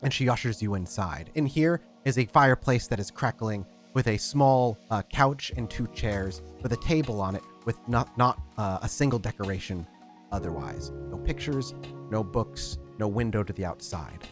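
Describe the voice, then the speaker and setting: A man as storyteller, narrating a tabletop roleplaying game in descriptive, unhurried speech with frequent fillers. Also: lowish voice, lively intonation, over music.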